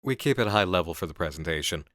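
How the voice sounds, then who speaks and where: Man acting a deep, seductive game developer. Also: expressive, a bit bright, no room sound, studio quality. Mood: encouraging, decisive. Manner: deliberate, philosophical, intimate. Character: seductive, intense male horror game developer, musing and assured. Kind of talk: ASMR roleplay voice acting.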